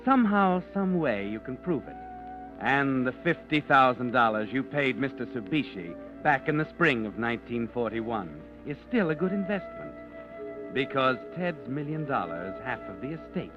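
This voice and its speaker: An actor voicing a mysterious all-knowing narrator who insinuates directly to the listener, in 1940s radio style. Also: male voice, mid-range voice, natural voice. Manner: insinuating, addresses listener as "you". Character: the Whistler, mysterious omniscient male narrator. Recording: dull lo-fi old radio broadcast with music.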